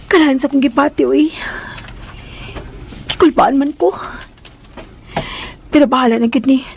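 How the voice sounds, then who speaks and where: An actress playing a timid young maid with a secret, speaking hesitantly and faltering in a radio drama. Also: female voice, high voice, moderate pace, creaky, darkish tone, a little hiss or hum. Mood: anxious resolve.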